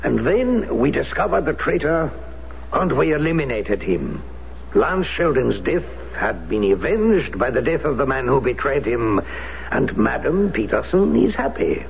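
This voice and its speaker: A persuasive old-time radio drama Resistance fighter, with a lively, swooping delivery and a creaky edge. He presses his case formally and urgently, through a dull, noisy broadcast recording. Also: mid-range voice, measured pace. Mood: scheming, persuasive. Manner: theatrical, urgent, formal pleading. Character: persuasive, insistent former French Resistance fighter guarding his group's reputation.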